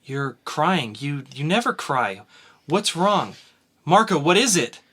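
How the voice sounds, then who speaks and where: A man's voice: a little high, sing-song, slow, a bit bright, slightly rough, exaggerated voice. Man doing a crude, panicky woman in labor. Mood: dazed, worried. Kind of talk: amateur dramatic reading.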